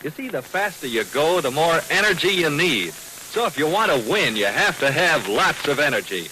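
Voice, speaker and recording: A man's voice: fairly high pitch, big pitch swings, moderate pace, sharp tone, slight background noise, low-quality recording. A man announcing a 1940s radio advertisement for children in an enthusiastic, folksy sales style, on an old recording.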